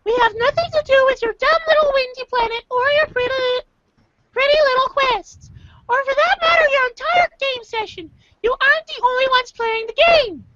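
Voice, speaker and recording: A woman's voice: very high voice, moderate pace. A woman voicing an irate, condescending, foul-mouthed young male alien troll in an amateur reader's theater, ranting in exasperation. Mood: contemptuous. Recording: dull lo-fi home recording, faint noise.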